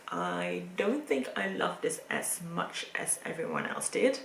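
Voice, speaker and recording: Her voice sits low for a woman and. She talks through a book review casually and thoughtfully, pausing often as she picks her words. The room gives it a clear echo, with faint noise underneath. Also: a bit bright. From Netherlands. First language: Dutch.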